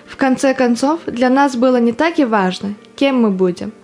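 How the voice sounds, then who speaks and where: High-voiced woman acting a war volunteer telling memories. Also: animated, moderate pace, a little mellow. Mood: earnest remembering. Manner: earnest storytelling. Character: young woman war veteran recalling her enlistment. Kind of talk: theatrical monologue in audio drama. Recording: music under the voice.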